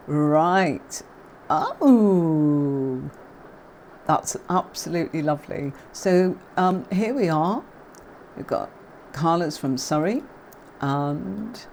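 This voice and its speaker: Deep-pitched British woman, neutral accent, chatty livestream tarot teacher, faint noise in background. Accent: neutral southern English, faint Yorkshire. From Yorkshire, England. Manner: chatty, warm greetings to viewers, many fillers. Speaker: tarot reader hosting a livestream.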